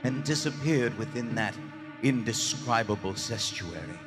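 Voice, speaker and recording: An actor playing a nervous, loyal gentleman who narrates a horror tale in formal, florid style for a live radio play. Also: on the low side, expressive, unhurried. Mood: haunted, solemn. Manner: formal, florid, dramatic delivery. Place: on stage in a large theater. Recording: live theater hall reverb, music behind. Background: music.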